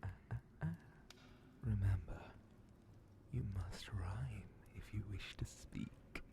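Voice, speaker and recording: A sly demon grand vizier speaks in a low, gravelly half-whisper, drawing out his words very slowly. He is mockingly polite and quietly threatening, in the manner of an ASMR horror fantasy roleplay, with faint noise behind the voice. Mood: mocking, amused. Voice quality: breathy, gravelly, often dropping to a whisper. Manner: slow, theatrical, condescending, half-whispered menace.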